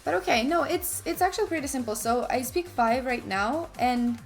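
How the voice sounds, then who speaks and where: A young woman from Ukraine talks casually on her stream, voice sitting a little high. She breaks off sentences and restarts them, tossing in asides to herself as she goes. Soft music runs quietly underneath. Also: animated, normal speed. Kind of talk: casual chat while playing chess.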